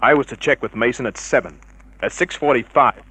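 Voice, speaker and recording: A cynical, tough private eye from an old radio serial. He, a mid-pitched voice and a clipped, hard-boiled delivery for both narration and confrontation. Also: brisk, bright, forceful tone, breathy edge, dry recording, low-quality recording. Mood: matter-of-fact. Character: tough, cynical male private investigator narrating his case. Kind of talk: 1950s radio crime drama narration and dialogue.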